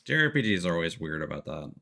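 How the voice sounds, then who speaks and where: A man's voice: sits low, big pitch swings, quick pace, gravelly, bright, forceful tone. A man giving casual, rambling video game commentary as a live streamer, hesitant and stumbling over his words. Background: game music.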